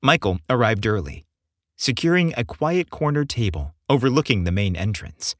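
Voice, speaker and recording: A man narrating scripted fiction as an audiobook narrator, in a measured, expressive storytelling manner. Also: medium pitch, no room sound, clean studio sound.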